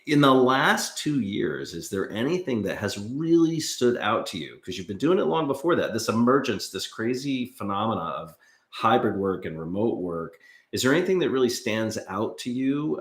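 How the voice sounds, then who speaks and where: A man's voice: on the low side, normal speed. A man hosting a podcast interview, introducing his guest and asking questions with an enthusiastic manner. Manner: enthusiastic, lively delivery. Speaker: podcast host. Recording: roomy echo.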